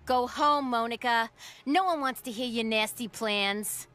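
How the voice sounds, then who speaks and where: A woman's voice: a little high, unhurried, fairly bright tone. A woman playing a blunt, assured, loyal teenage monster girl in a cartoon, with a sassy delivery. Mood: scornful. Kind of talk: animated cartoon acting.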